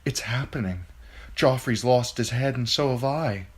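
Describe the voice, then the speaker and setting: A man voicing a frightened young noble girl who tries to calm a crowd. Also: mid-range voice, unhurried, slightly rough, pitched above the performer's own voice. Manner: earnest, trying to soothe.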